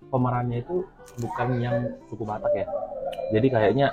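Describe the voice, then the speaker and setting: A man hosting a casual film review chat, relaxed and chatty, with frequent fillers and questions seeking agreement. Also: medium pitch, normal speed, phone-quality sound, over loud music. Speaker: host.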